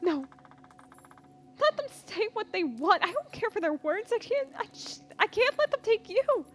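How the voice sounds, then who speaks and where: A woman voicing a desperate young wife and mother who begs in a high, strained voice. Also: big pitch swings, moderate pace. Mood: pleading.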